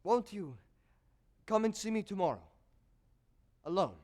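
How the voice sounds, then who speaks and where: An actor in a stage play voicing a scheming, lecherous older court composer with formal, courtly, insinuating phrasing. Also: male voice, high voice, sing-song, unhurried, darkish tone. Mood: sly, insinuating. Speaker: stage actor in a school one-act play. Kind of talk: theatrical stage period drama acting.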